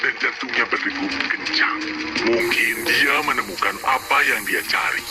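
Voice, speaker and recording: A man's voice: mid-range voice, moderate pace. A man narrating a scripted fairy tale as a dramatic storyteller. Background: loud music.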